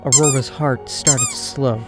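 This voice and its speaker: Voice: medium pitch, fairly even intonation, a little slow. A narrator reading scripted storybook prose for an audio drama, over music.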